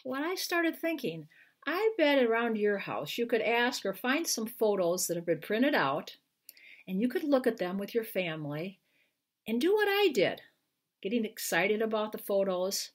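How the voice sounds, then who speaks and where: Older woman, American accent, animated intonation, mid pitch, unhurried storyteller delivery, home webcam recording. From Iowa, United States. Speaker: children's book author. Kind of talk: picture book read-aloud and informal talk to viewers. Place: living room at home, indoors. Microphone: webcam at arm's length.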